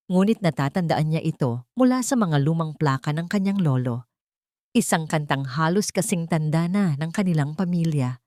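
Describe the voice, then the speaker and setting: A woman reading a scripted dramatic story as narrator, pausing between short phrases. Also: fairly low pitch, a little mellow, no echo, studio quality. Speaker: narrator of audio love stories.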